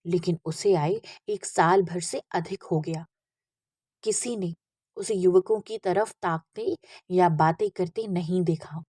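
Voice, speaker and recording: A woman narrates literary fiction in a husky voice at a slow, measured pace. She reads expressively, and the recording is dry and close. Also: on the low side, warm tone, no room sound. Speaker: audiobook narrator. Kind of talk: expressive literary story reading.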